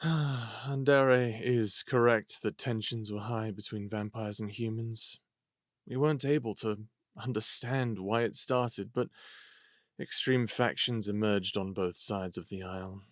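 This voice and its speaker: Man playing a low-voiced, wry human witness. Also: fairly even intonation, dry recording, clean studio sound, close to an everyday voice. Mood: grave recounting. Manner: measured, wry, explanatory. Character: wry, unflappable human man with ancient memories. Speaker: actor. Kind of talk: audio drama acting.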